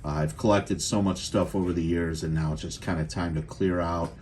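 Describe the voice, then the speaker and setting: A man with a low voice talks through an item he is selling in a casual, unscripted way. His delivery is plain and halting, with frequent pauses. There is clear noise behind him on the homemade video recording. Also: steady tone, darkish tone. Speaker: auction seller demonstrating radio gear. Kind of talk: casual unscripted product demo.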